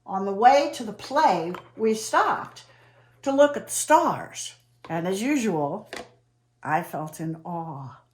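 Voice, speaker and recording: A woman playing an eccentric, awestruck character in a theatrical comic monologue, telling her story with dramatic emphasis and pauses. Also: medium pitch, lively intonation, slight background noise. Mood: awestruck wonder. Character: eccentric, awestruck woman named Trudy who chums with space aliens.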